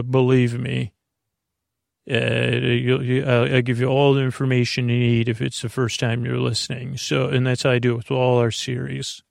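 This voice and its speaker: A man with a gravelly, creaky voice talks in a loose, meandering way, tripping over words as he goes. He sounds easygoing and companionable, like a friend chatting on speakerphone. The recording is close and dry. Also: mid-range voice, dry recording. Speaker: sleep podcast host. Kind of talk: casual rambling monologue.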